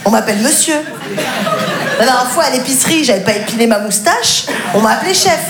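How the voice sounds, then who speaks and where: Echoey, slightly high-voiced female stand-up comic. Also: expressive, fairly quick, a loud crowd. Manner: self-deprecating, frank, conversational. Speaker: stand-up comedian. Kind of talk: stand-up to a live audience. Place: live comedy stage. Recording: echoey, noisy live stage recording.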